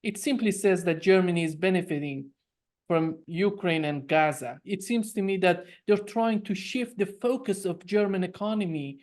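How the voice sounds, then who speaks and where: Host asking careful questions, mid voice, steady. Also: mid-range voice, moderate pace. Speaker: interview host. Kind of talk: interview questions.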